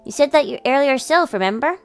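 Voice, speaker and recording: Woman voicing a high-voiced, earnest older brother. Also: animated, fast talker, faint music behind. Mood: tender, reassuring. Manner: gentle, sincere. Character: caring, earnest older brother, tender and reassuring. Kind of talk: visual novel character voice in a let's play.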